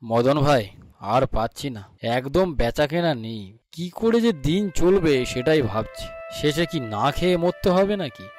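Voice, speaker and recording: A man voicing a worried, self-pitying poor village bangle peddler in an animated fairy tale, with a plaintive way of talking. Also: mid-range voice, measured pace, faint music behind, lightly acted. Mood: tired, worried. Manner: plaintive, lamenting.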